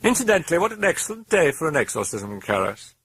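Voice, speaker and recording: A man's voice: on the high side, very animated, fast talker, fairly bright tone, breathy edge, pitched above the performer's own voice, exaggerated voice. A man voicing a sardonic, mocking demon that speaks through a possessed girl, sneering and theatrical.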